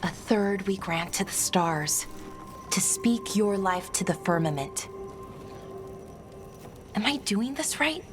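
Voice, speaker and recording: A woman's voice: mid-range voice, lively intonation, slow pace, pauses often. A woman playing an earnest, scholarly young elf woman in grief, talking in a rambling, unsure way in a video game. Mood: wistful, uncertain. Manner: rambling, hesitant, self-correcting. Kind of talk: video game dialogue acting. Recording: clear game music behind the voice. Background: game music.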